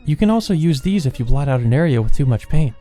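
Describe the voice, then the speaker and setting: A man voicing a kind, patient schoolgirl with a gentle, encouraging manner, reading from a visual novel. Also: a little high, expressive, quick pace, soft background music, pitched above the performer's own voice, close to an everyday voice. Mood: friendly, explaining.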